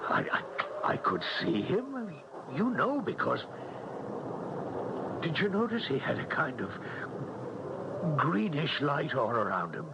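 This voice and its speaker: Anxious father, high swooping voice, old radio. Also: sing-song, vocal fry, highs cut off, exaggerated voice. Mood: bewildered, frantic. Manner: halting, stammering when rattled. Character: anxious, bewildered father searching for his missing son. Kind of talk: old-time radio drama acting. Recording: noisy old radio drama recording.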